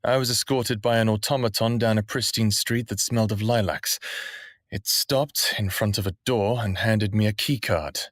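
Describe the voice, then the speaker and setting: A man playing a smartass, foul-mouthed human in his thirties, narrating an audio drama in the first person with a sardonic, expressive delivery. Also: fairly low pitch, normal speed, no room sound, studio quality, close to an everyday voice. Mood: wry narration. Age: 30s. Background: music under the voice.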